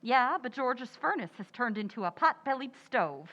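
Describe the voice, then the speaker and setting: A high, very expressive housewife from a vintage radio comedy, acted by a woman. She is sarcastic and excitable, with wry exaggeration. The sound is a little lo-fi. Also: moderate pace. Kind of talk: old-time radio comedy acting.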